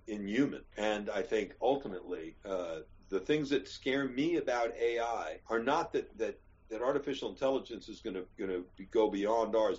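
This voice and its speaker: An older American man, an actor, talking candidly and at length, emphatic, digressive and quick to swear. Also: medium pitch, darkish tone, muffled recording. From United States. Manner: rambling, emphatic, swears freely. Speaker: actor and guest. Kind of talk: candid interview chat.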